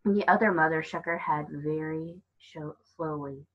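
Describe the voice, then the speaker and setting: A woman's voice: deep voice, normal speed, dull audio. A woman reading a children's novel aloud as a storyteller. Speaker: storybook reader.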